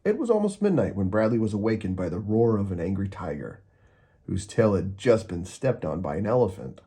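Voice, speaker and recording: A man narrating a children's storybook aloud, expressively and with deliberate, dramatic emphasis. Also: fairly low pitch, sing-song, warm tone.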